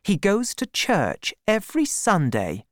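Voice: mid-range voice, very animated, unhurried, clear, resonant tone, dry recording, clean studio sound, voice pushed up. A reader voicing a proud, fiery young woman farmer with emphatic, emotional delivery in a storybook. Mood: defensive.

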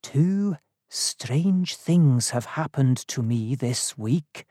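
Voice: mid-range voice, wildly swooping pitch, extremely slow, mellow, no echo, studio quality, heavily acted. A narrator voicing a nervous male historian in an audiobook story, anxious but polite. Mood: troubled, brooding.

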